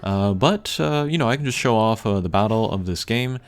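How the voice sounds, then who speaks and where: A man giving casual, unscripted gameplay commentary in a rambling, low-key way with frequent hesitant pauses. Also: expressive. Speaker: game video creator. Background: video game music.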